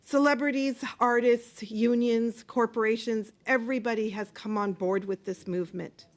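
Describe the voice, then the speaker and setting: A woman's voice: on the high side, fairly even intonation, moderate pace. A woman giving earnest, impassioned testimony as a witness at a committee hearing, with a few hesitations, speaking into a desk microphone. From Canada. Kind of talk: formal testimony.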